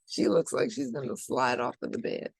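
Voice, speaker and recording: A woman's voice: a bass voice, very animated, normal speed, gravelly, breathy edge, no echo. A woman reading a picture book aloud to children, expressively, with dramatic emphasis and chatty asides. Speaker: children's storybook reader.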